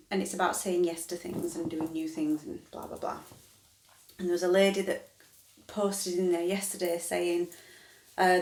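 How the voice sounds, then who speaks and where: A woman in her thirties talks to camera in a loose, rambling way and keeps stopping to correct herself. Her voice sits fairly low for a woman. The sound carries a noticeable room echo. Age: 30s. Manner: rambling, chatty, self-correcting. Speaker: vlogger. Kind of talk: casual chat to camera. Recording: echoey room.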